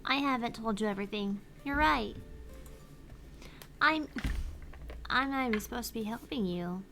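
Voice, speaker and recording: A woman's voice: fairly high pitch, moderate pace, bright, forceful tone, faint music behind. A woman reading the lines of a cheerful female AI phone assistant aloud, earnest and a little pleading. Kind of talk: reading game dialogue aloud.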